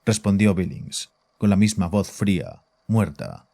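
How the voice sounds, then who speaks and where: A man's voice: low-pitched, animated, unhurried, vocal fry, warm tone. A man narrating a scripted horror story as the narrator of an audio drama. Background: faint music.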